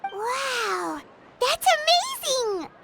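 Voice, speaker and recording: A woman voicing a tiny, excitable, childlike female fairy companion in a video game, squeaky and full of exclamations. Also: drawn-out words, vocal fry, heavy background noise, exaggerated voice. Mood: amazed, chirpy. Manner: squeaky, exclaiming, refers to self in third person.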